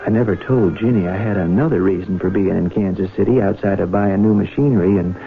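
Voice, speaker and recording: A man's voice: low voice, fast. A man acting an earnest, haunted young farm heir in a 1970s radio drama. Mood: wistful. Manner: earnest, reflective. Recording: thin phone-like old radio broadcast, music.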